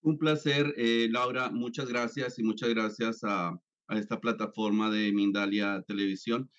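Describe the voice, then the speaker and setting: A man's voice: fairly low pitch, steady tone, a little slow, fairly bright tone. A man in his fifties from Colombia, giving an interview as a psychologist and talking with many fillers and restarts. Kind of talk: conversational interview. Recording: dull lo-fi sound.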